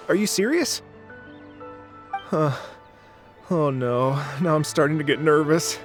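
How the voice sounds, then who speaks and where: Earnest, hesitant musician, fairly high-voiced. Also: male voice. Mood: nervous. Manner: hesitant, polite. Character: earnest, nervous, polite male musician. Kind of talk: video game acting.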